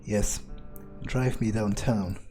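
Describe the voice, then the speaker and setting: A man's voice: lowish voice, takes it slowly, dark tone. A man doing an in-character game playthrough, musing aloud with plenty of swearing and hesitant phrasing. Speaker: gamer playing in character. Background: game music.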